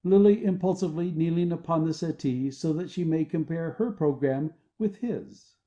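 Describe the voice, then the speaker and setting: A man's voice: fairly high pitch, moderate pace, mellow. A man reading stage directions as scripted narration for an audio play.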